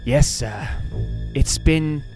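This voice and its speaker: A man's voice: mid-range voice, very slow, loud music behind the voice. A man playing a dutiful, courteous butler in a motion comic, speaking formally and properly. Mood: deferential. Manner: formal, deferential. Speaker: butler character. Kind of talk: dramatic motion-comic voice acting.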